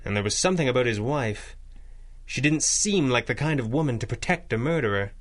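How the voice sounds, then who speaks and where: A man's voice: mid-range voice, expressive, natural voice. A man as a suave, dry-witted masked crime-fighter. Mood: pensive. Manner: dry, measured, articulate. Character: suave, thoughtful wealthy masked crime-fighter, calm boss. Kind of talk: 1930s-style radio drama acting.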